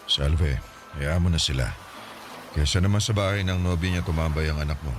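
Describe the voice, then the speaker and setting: A sick, grumbling older father in a radio drama, his voice very deep and gruff. He complains at his family in short, weary lines. A clear hiss of noise sits under the recording. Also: brisk.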